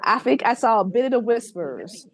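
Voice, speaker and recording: A woman from Philadelphia chatting as a guest host on a fan podcast, telling stories in a lively, laughing way. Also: fairly high pitch, normal speed. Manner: lively, laughing, animated storytelling. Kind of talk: casual podcast chat.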